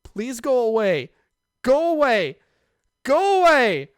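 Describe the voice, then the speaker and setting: A reader voicing a frightened teenage boy in an audiobook who begs and shouts desperately. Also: high-pitched, sing-song, slow, smooth, dry recording, clean studio sound, voice pushed up, exaggerated voice. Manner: pleading, then shouting.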